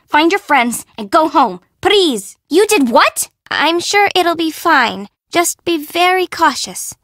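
An actress playing a dramatic, friendly young woman in a video game, expressive and informal. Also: very high-pitched, slow pace, no echo, clean studio sound, heavily acted. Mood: worried, pleading. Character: perky, dramatic young woman, friendly but easily spooked. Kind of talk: scripted game dialogue.

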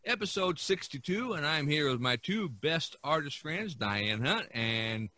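A man hosting a podcast in a casual way, halting and repeating words as he talks. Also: on the high side, expressive, bright, forceful tone, dry recording. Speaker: podcast host.

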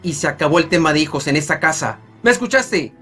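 Man acting blunt, stubborn husband, swinging pitch. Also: animated, quick pace, over loud music, close to an everyday voice. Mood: angry. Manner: blunt, forceful. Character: stern, stubborn working-class husband. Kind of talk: radio soap opera acting.

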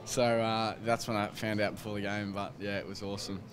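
A man's voice: lowish voice, little pitch movement, fairly quick. A young Australian man, a footballer in a post-match interview, talking hesitantly with many fillers and restarts into a handheld mic. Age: young adult. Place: team rooms by a sponsor wall, crowd noise behind. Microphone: handheld microphone held by interviewer. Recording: crowd noise behind.

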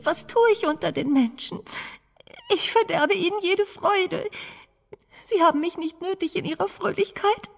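A woman playing a gentle, lonely young princess in a German fairy-tale audio drama, plaintive and earnest. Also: extremely high pitch, moderate pace, vocal fry. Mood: sorrowful, lonely. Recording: long hall reverb, faint music.

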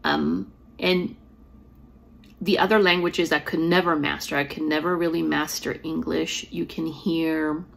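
Low, gravelly woman with a slight lisp. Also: flat delivery, measured pace. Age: 40s. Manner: reflective, earnest, with fillers and restarts. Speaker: poet and author. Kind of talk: personal storytelling to camera. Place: small room at home, indoors. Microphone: device camera at close framing.

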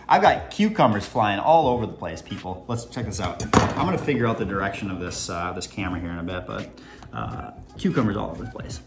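A young man chatting casually while he cooks in a home kitchen, rambling with playful asides. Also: fairly low pitch. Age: young adult. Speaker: home cooking vlogger. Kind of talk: casual cooking vlog chat. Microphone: camera on the counter, moving around the kitchen. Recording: clear background music under the voice.

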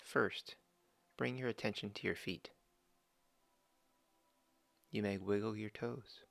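Gravelly low-voiced man guiding a meditation. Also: no echo. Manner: slow, calm instructions with long pauses. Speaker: meditation guide. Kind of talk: guided body scan meditation.

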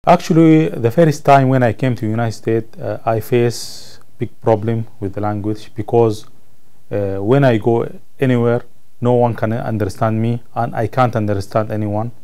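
A man in his thirties from Sudan, a native Arabic speaker with a Sudanese Arabic accent, answering interview questions as a student in halting English, searching for words. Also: mid-range voice, steady tone, dark tone, clean tone. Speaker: college ESL student being interviewed. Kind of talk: interview answers about own life. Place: indoor room, seated on camera.

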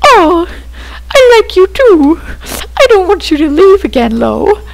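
A man doing a timid little star plant, higher than his own voice. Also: big pitch swings, a little slow, vocal fry, full character voice, rough recording. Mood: grateful. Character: gentle shy hungry little star plant.

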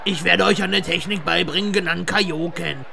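Man voicing a creaky old yeti mentor. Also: sits high, fairly even intonation, moderate pace, sharp tone, slight background noise, exaggerated voice. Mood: earnest. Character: wise, helpful older male cartoon yeti mentor. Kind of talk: German fan-dub cartoon acting.

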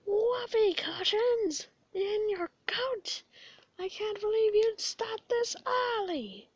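A woman voicing an excitable, earnest young male skeleton in a comic dub, with dramatic, emphatic delivery. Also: very high-pitched, slow, no echo, muffled recording, pitched above the performer's own voice, exaggerated voice. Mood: outraged.

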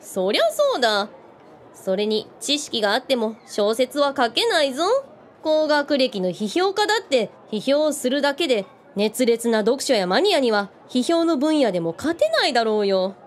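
A tough, bookish woman voiced by an actress holds forth in a comic radio drama. Her fairly high voice swings freely, with blunt, teasing, rough wording. Faint background noise sits behind her. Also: big pitch swings, normal speed. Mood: opinionated, enthusiastic.

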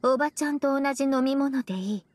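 A woman voicing a stoic, aloof young female disciple in a video game, deadpan and formal. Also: high-pitched, fast talker, lightly acted. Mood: flat, earnest. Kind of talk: Japanese video game voice acting.

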